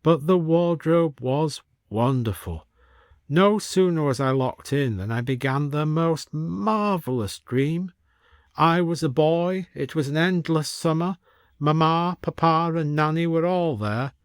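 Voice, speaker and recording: A man voicing a wistful, genteel gentleman from a bygone age, in a story reading. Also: a little high, unhurried, smooth, no echo, studio quality, pitched above the performer's own voice. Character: wistful, genteel old-fashioned gentleman from centuries ago. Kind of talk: audiobook character voice.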